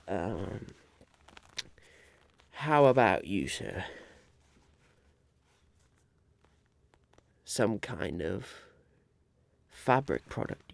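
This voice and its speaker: A man playing a scheming, eccentric businessman in an ASMR roleplay, musing haltingly and repeating his phrases. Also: fairly low pitch, vocal fry, no room sound, full character voice. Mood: musing, pitching.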